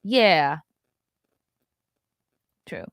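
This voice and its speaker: A woman's voice: low-pitched, expressive, extremely slow, gravelly, no room sound. A woman in her thirties hosting a casual livestream, reading chat comments and reacting with blunt, sarcastic commentary and frequent swearing. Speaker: livestream host.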